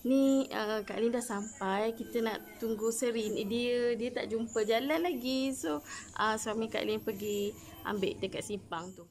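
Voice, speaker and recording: Malaysian lady filming family stuff outside, chatty, talks to her kid a lot, slightly high voice. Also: measured pace, darkish tone. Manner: chatty, calls out to her small child. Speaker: vlogger. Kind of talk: casual family vlog narration. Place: outdoors in a rural garden. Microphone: handheld phone camera at arm's length.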